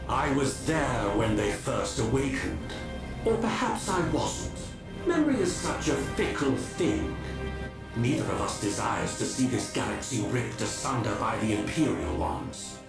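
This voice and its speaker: An actor playing an ancient, eccentric alien, archly polite and sardonic, with a creaky voice in a scripted game cutscene. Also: male voice, mid-range voice, big pitch swings. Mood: sly, musing. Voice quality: creaky, gravelly. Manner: theatrical, slow, musing, archly polite. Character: ancient eccentric male alien, sardonic, slyly courteous, forgetful schemer. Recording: echoing voice under background music.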